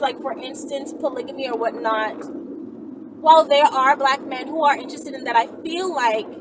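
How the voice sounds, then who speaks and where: A woman chatting casually and candidly to viewers as a vlogger, in a rambling, playful, slangy way. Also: high voice. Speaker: vlogger answering viewer questions. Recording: noisy, distant mic.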